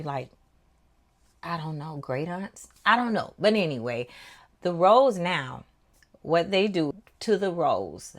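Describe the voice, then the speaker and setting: A middle-aged woman with a naturally deep voice, a little under the weather, chatting casually and folksily to her viewers as a vlog host. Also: fairly bright tone. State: voice affected by recent illness. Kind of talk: casual chat to viewers about perfume.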